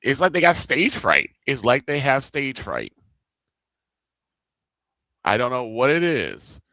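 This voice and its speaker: A man chats casually about the game as a color commentator, often repeating words while he gathers his thoughts. His voice is bright and forward, and it is recorded dry and close. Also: mid-range voice, takes it slowly, no echo. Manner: relaxed, repeats himself while searching for words.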